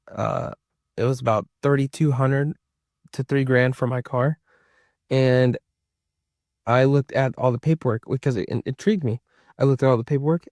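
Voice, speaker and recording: A man's voice: medium pitch, darkish tone, no room sound, studio quality. A man of about thirty chatting casually to his viewers as a vlogger, rambling and repeating himself for emphasis. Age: around 30. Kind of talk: casual personal chat to viewers.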